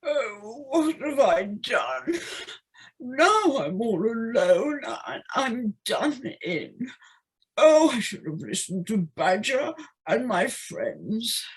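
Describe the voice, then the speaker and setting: A woman's voice: fairly high pitch, very animated, takes it slowly, breathy edge, exaggerated voice. A woman playing a self-pitying, indignant, vain male toad with melodramatic bluster in an amateur audio play.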